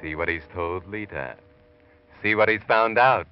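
A man voices an ominous narrator of a classic radio thriller. His very low voice is knowing and taunting, with music clearly underneath. The old recording is muffled. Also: natural voice. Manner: knowing, goading, deliberate.

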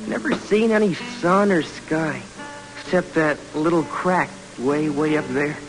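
A male actor plays a wistful young camp cook in an old radio drama. He talks in a folksy, chatty way with a fairly high voice, over music on a vintage recording. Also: measured pace, close to an everyday voice, low-quality recording. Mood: deferential, uneasy. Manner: folksy, rustic colloquial talk. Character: chatty, wistful boy cook on river expedition.

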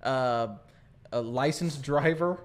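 A man's voice: medium pitch, slow pace. A man co-hosting a podcast in a studio, chatting playfully and acting out quoted bits. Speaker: podcast co-host. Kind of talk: casual comedic chat.

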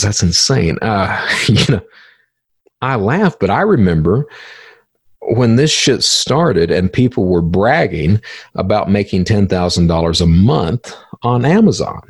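A man's voice: on the low side, big pitch swings, measured pace, gravelly, breathy edge, dry recording, clean studio sound. A man hosting a podcast in a casual interview style, speaking bluntly and colloquially with some swearing. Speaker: podcast host.

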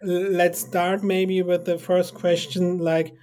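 A man hosting a podcast, welcoming a guest and asking hesitant, halting questions. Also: sits high, little pitch movement, a little mellow. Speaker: podcast host. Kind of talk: interview host questions.

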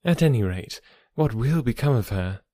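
A man's voice: medium pitch, animated, normal speed, warm tone, dry recording, studio quality, natural voice. A man voicing a witty, teasing young woman in audiobook dialogue, lifting his voice slightly for her.

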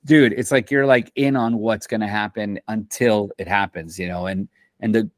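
A man's voice: fairly low pitch, steady tone, normal speed, no room sound, clean studio sound. A man who composes for film and television, talking in a loose, rambling way, full of slang and restarts, in a casual interview. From Central America.

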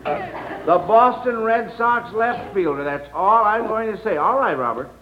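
A man's voice: high-pitched, expressive, measured pace. A man hosting a children's quiz show on old radio, folksy and a little hesitant as he talks. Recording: dull vintage radio broadcast.

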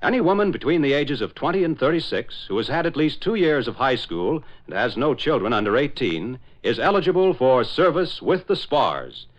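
A man's voice: medium pitch, big pitch swings, normal speed, hardly any pauses. A man narrating as a 1940s radio announcer in a dramatic, declamatory style, on a dull old recording.

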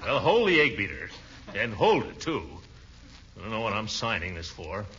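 An actor playing a pompous, bumbling middle-aged American uncle in a 1940s radio comedy, blustering and stammering. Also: male voice, lowish voice, big pitch swings, normal speed, pushed and bright. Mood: flustered, indignant. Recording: muffled, noisy 1940s radio recording.

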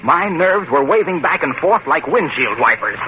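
A man telling a spooky tale with slow dramatic pauses that turns into a product pitch, in a 1940s radio comedy. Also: sing-song. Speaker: comic announcer pitching a product. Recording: muffled old radio recording with hiss.

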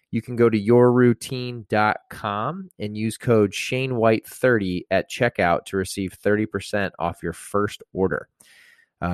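Low-voiced upbeat podcast host man. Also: clean tone, dry recording, studio quality. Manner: upbeat, loose, with "you know" and "uh" fillers. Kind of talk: casual podcast hosting and sponsor read.

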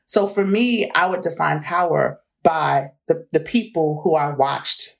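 A woman in her forties speaks in a fairly low voice as a guest in an interview. She talks in a candid, reflective way and laughs easily between earnest points. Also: measured pace, creaky. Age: 40s.

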